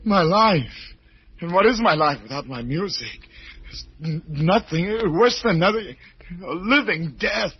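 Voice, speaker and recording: An actor playing an unstable, obsessive concert pianist in old-time radio horror, with an intense, melodramatic manner. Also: male voice, high-pitched, expressive, a little slow, slightly rough, full character voice. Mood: frantic, demanding. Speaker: actor and first-person narrator. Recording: muffled vintage radio recording.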